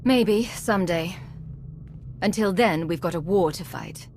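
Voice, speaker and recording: Low-voiced, cool commander. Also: female voice, measured pace, a little hiss or hum. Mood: dry, resolute. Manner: terse, controlled. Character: cool, resolute female commander and secret agent.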